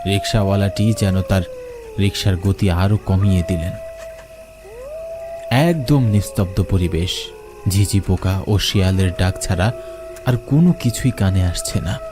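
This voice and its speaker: A young man narrating a first-person horror story, expressive and asking questions as he goes. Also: sits low, a little slow, long pauses, over music.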